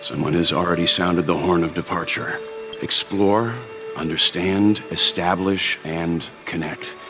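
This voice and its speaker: An actor playing a calm, steadfast adventurer who rallies his companions in a video game. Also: low voice, unhurried. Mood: steady, rallying. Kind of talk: dramatic game voice acting.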